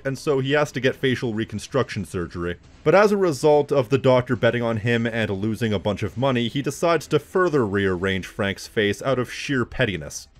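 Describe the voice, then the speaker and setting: A man delivering scripted video commentary as an essayist, with snide, wry asides. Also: mid-range voice.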